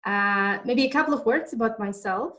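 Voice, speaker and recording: A woman from Kazakhstan, a mother, talking about herself in a casual interview, halting often with fillers. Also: on the high side, a bit bright. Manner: halting, with many fillers and restarts. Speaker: interviewee, mother and online entrepreneur. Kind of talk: casual video chat interview.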